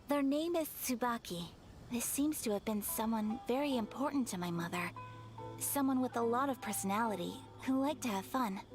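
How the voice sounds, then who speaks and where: A woman's voice: a little high, normal speed, lightly acted. A woman voicing a gracious, formal young noblewoman in a video game, speaking politely and composedly. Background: game music.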